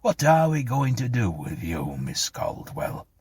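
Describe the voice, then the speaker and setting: A man voicing a kindly, weary policeman in a storybook, blunt and gently scolding. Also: medium pitch, very animated, a little slow, husky, voice pushed up, exaggerated voice. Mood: concerned. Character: kindly, weary male policeman on night beat.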